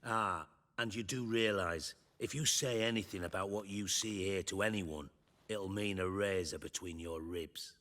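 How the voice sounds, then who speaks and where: An actor playing a shrewd, foul-mouthed crime boss in a video game, talking in a sarcastic, commanding, wordy way. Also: male voice, sits low, normal speed, pushed and bright. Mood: cool, menacing. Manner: sardonic, commanding, verbose. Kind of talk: cinematic video game acting. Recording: faint music behind the voice.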